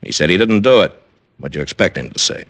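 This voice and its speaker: A very deep-voiced, blunt businessman in a vintage radio crime drama. He answers questions defensively and curtly. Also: lively intonation, quick pace, gravelly, a bit bright, full character voice. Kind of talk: 1950s radio drama acting.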